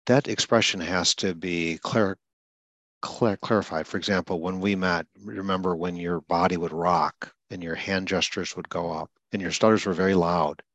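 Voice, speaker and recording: An older man who stutters a little himself, calmly explaining ideas to a client in an interview. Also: sits low, no echo, highs cut off. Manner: explains slowly and deliberately, stutters lightly himself. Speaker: speech coach interviewing a client. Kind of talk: calm conversational interview.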